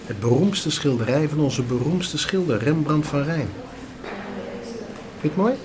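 A man's voice: fairly high pitch, a little slow, background noise, natural voice. A man playing a patient father who explains things to his young son in a radio drama. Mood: patient, instructive.

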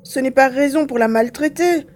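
Woman voicing a high-voiced plucky boy adventurer. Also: moderate pace, darkish tone, a little hiss or hum, lightly acted. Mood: pained, curious. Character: injured, plucky young boy adventurer in science fiction. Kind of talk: acted audio drama with inner narration.

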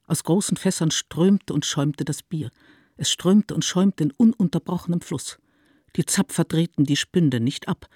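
A man's voice: fairly high pitch, moderate pace, darkish tone, slightly rough, no echo, clean studio sound. A man narrates a literary radio play with rhythmic, emphatic phrasing.

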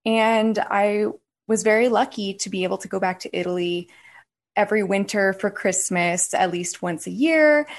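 A woman's voice: mid-range voice, moderate pace. Chatty young American woman. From United States. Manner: chatty and expressive, laughs often. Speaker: guest. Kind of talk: casual interview chat.

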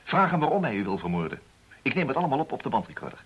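Husky, wry male private detective. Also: mid-range voice, big pitch swings, very fast. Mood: businesslike. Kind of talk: radio play acting. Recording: dull lo-fi old radio sound.

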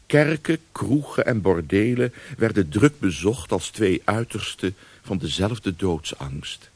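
A man playing a grave storyteller, narrating a historical radio drama in a measured, dramatic way. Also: lowish voice, lively intonation, husky, no echo, heavily acted.

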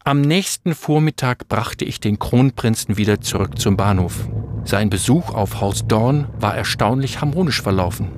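An actor as a lowish-voiced, dry court aide narrating. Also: male voice, animated, moderate pace, background noise, close to an everyday voice. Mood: sober. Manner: dry, understated, polite. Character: dry, sober, loyal but disapproving court aide. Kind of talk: scripted diary narration in a radio play.

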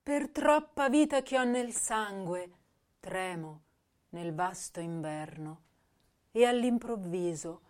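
A woman's voice: medium pitch, a little mellow, lightly acted. An actress playing an intense, hypersensitive young woman poet, reciting verse lyrically in short phrases for a radio drama. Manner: lyrical, broken into short breath-long phrases. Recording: violin music behind the voice.

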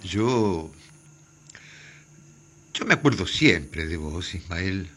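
A man's voice: sits low, animated, extremely slow, sharp tone, heavily acted. An actor with an Argentine accent playing a shy, flustered theatre man who stammers and repeats his words in a radio drama. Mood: shy, tender.

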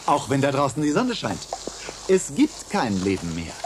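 A man's voice: medium pitch, wildly swooping pitch, moderate pace, noisy background. A man acting in a radio play as a patient, long-suffering resident of a domed future colony, pleading and theatrical. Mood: mock tour guide.

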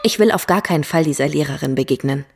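A woman's voice: deep voice, fast talker, gravelly, background noise. A woman playing a gossipy, self-satisfied young woman in a radio drama, talkative and sure of herself. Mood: gossipy, pointed.

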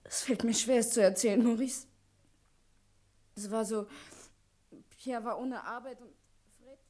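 A woman's voice: fairly high pitch, steady tone, unhurried, dark tone, natural voice. An actress playing a frightened, pleading woman who speaks hesitantly in a German radio crime drama. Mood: anxious, subdued.

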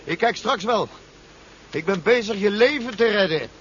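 An actor playing a curt military pilot with a clipped, dryly ironic manner in a 1970s Dutch radio drama. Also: male voice, sits high, animated, normal speed. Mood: tense, curt. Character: male military pilot, curt and cool under pressure. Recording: muffled, noisy 1970s radio recording.

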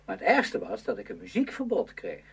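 A man's voice: medium pitch, wildly swooping pitch, brisk, breathy edge, heavily acted. A man acting a moody, confiding teenage schoolboy in a Dutch radio play. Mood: bitter, confiding. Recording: hum and faint noise, radio drama recording.

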